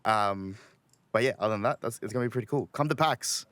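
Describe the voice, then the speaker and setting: A man's voice: mid-range voice, quick pace, a bit bright. An Australian man chatting casually on a podcast, rambling along in a slangy, laid-back way.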